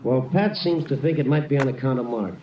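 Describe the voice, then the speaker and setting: A man's voice: mid-range voice, a little fast, recorded from a distance, background noise, close to an everyday voice. An actor playing a wry, slangy hardboiled male reporter in an old radio detective drama. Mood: sardonic. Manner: sardonic, slangy, clipped wisecracks. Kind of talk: 1940s-50s radio detective drama acting and narration. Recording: dull old radio recording, roomy, music behind.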